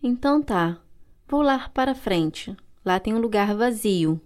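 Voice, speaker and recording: A woman with a Brazilian Portuguese accent reading vocabulary and dialogue lines for a language course, clear and carefully articulated. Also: mid-range voice, animated, measured pace. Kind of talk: slow scripted language-lesson reading.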